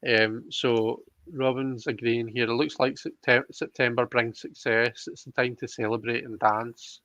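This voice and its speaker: A man's voice: mid-range voice, level tone, unhurried, fairly bright tone, dry recording, lo-fi sound. A man hosting a casual live card reading, chatting with a guest and viewers and thinking aloud in a hesitant, repetitive way.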